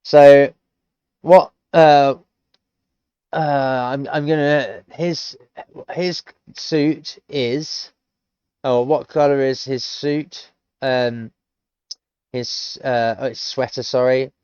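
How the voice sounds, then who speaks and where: A man's voice: medium pitch, darkish tone, smooth, dry recording, lo-fi sound. A British man in his thirties, teaching himself a language on stream, thinking aloud in a rambling, hesitant way. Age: 30s. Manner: rambling, hesitant, full of restarts. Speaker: self-taught language learner streaming. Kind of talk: casual thinking-aloud commentary.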